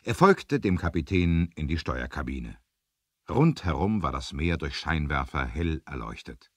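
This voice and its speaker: Low-voiced male storyteller, dry studio sound. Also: big pitch swings, a little slow, no echo. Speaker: narrator. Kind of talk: scripted adventure radio play narration.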